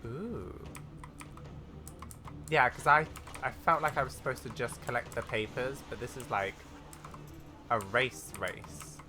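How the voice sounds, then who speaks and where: A gaming video host giving casual, excitable, self-deprecating commentary while playing. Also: medium pitch, unhurried, pauses often. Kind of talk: casual gameplay commentary, reading game dialogue aloud. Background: music behind the voice.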